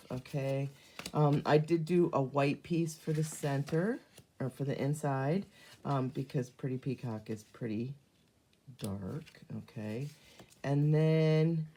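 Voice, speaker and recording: A hoarse middle-aged woman hosts a relaxed live craft stream. Her voice sits very low and sounds warm and mellow. She rambles in a friendly way with plenty of ums. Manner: chatty, many ums, greets viewers by name. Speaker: card-making demonstrator hosting a live stream. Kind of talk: casual live craft demonstration.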